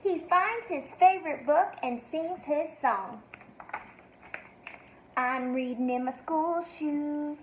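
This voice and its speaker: A woman reading a picture book to young children like a teacher, expressive and sing-song, with encouraging questions. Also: sits high, slow pace, long pauses, slight background noise, rough recording. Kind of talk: read-aloud for young children, asking questions.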